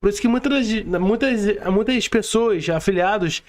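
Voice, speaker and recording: A man's voice: sits high, moderate pace, darkish tone. A Brazilian man hosting a casual podcast interview, informal, repeating words for emphasis and often asking questions. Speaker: podcast host.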